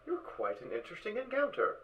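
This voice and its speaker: A man playing a curious, chatty robot watcher in a fan audio drama, with animated, inquisitive delivery. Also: very high-pitched, fast talker, lo-fi sound, heavily acted. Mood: curious, friendly. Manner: animated, inquisitive, hesitant phrasing.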